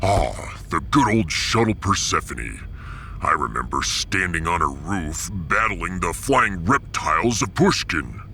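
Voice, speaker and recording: Deep, solemn space warrior. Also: male voice, big pitch swings, bright, forceful tone, a little hiss or hum, exaggerated voice. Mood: proud. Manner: grandiose, ceremonious, measured. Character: big, solemn, proud warrior from Ganymede. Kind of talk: science fiction audiodrama acting.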